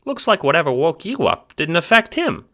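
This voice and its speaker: A man voicing a cheerful, airheaded little minion creature in an audio drama, excitable, slangy and boyish. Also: mid-range voice, moderate pace, pitched above the performer's own voice. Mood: chatty. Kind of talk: fan audio drama character acting.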